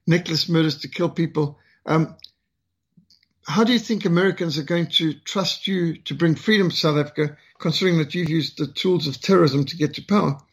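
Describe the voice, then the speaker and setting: Husky older man, Rhodesian accent. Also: fairly high pitch. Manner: earnest, halting with frequent fillers. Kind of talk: personal storytelling talk.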